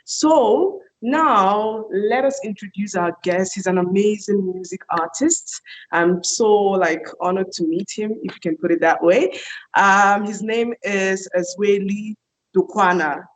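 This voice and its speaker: A woman's voice: mid-range voice, unhurried, poor audio. A woman hosting an online TV interview, chatty and enthusiastic, with frequent fillers. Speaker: host.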